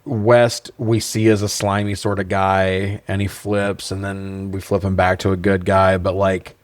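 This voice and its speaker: A man's voice: deep voice, flat delivery, measured pace, no echo. A man co-hosting a podcast, chatting casually and bluntly about films with plenty of swearing. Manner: profane, blunt. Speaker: podcast co-host. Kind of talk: casual film review chat.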